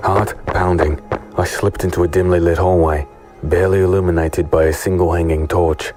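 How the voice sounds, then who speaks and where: Man acting deep-voiced brooding fantasy infiltrator. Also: level tone, moderate pace, darkish tone, lightly acted. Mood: tense. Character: brooding male sellsword infiltrator, haunted former prisoner, narrating. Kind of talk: dramatic dark fantasy audio drama narration. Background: music under the voice.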